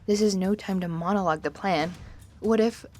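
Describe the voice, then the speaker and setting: A woman's voice: mid-range voice, moderate pace, a little mellow, natural voice. A woman plays an anxious changeling princess in her mid-teens. She is hesitant and exasperated, a put-upon younger sister protesting in an audio drama over faint music.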